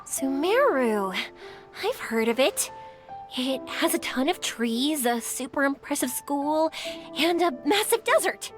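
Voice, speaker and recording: A young, adventurous firework maker from a video game. The voice is high and swings animatedly, friendly, chatty and full of enthusiasm, with faint music underneath. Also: female voice, sing-song, takes it slowly, exaggerated voice. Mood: earnest, curious.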